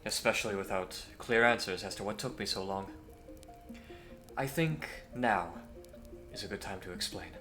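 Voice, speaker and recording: A man playing a courteous, earnest young Victorian gentleman hero in a scripted audio roleplay, with formal, hesitant phrasing. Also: fairly low pitch, moderate pace, soft background music. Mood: courteous, solemn.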